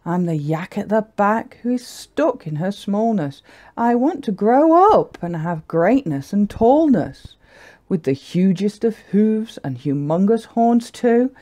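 Storybook little yak, female, wistful but plucky, mellow and very animated; performed by a woman. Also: medium pitch, takes it slowly, voice pushed up. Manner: expressive, sing-song rhyming delivery. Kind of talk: children's picture-book character voice.

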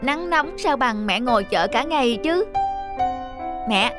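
A high-voiced, earnest young son , played by a woman in a cartoon, devoted to his mother. Music runs behind the voice. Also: lively intonation, a little slow. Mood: caring, hopeful. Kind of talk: cartoon dubbing.

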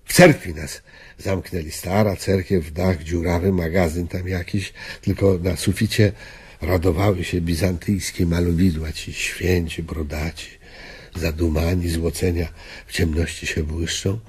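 Old polish army veteran in a radio play, deep husky voice, wistful halting storytelling. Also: male voice, fairly even intonation, a little mellow, full character voice. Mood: grave, reminiscing. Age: older. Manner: halting, reflective storytelling in short phrases. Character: elderly former Polish army officer, wistful war veteran. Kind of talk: radio drama acting, reminiscing monologue.

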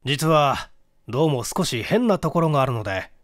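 A man voicing a courteous, methodical police detective in a mystery audiobook, speaking politely in measured explanations. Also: on the high side, sing-song, fairly bright tone, no echo, clean studio sound. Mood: serious, explanatory. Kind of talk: dramatic audiobook reading of a mystery.

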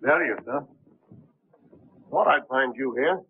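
An actor playing a gruff, suspicious middle-aged police captain in a 1930s film, speaking bluntly in clipped phrases. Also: male voice, mid-range voice, slow pace, heavily acted. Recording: noisy, tinny 1930s film soundtrack.

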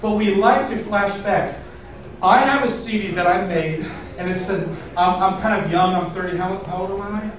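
Male pastor, 30s, high-pitched, informal and chatty sermon delivery, recorded from afar in a very echoey hall. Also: moderate pace, far from the mic, a little hiss or hum. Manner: informal, chatty, engaging listeners with questions. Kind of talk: casual sermon to a congregation. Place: indoor church stage in front of seated audience. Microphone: far camera in the audience.

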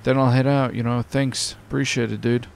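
A man's voice: medium pitch, level tone, moderate pace, gravelly, slight background noise. A man chatting and commentating in character in a game roleplay, casual and exclamatory, with some swearing. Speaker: gamer, roleplay video host. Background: game ambience and vehicle sounds.

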